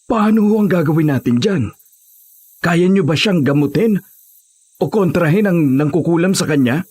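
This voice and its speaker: A man playing an earnest, respectful husband seeking help, with a husky voice, in a horror audio drama. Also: fairly high pitch, animated, normal speed, darkish tone. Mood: worried.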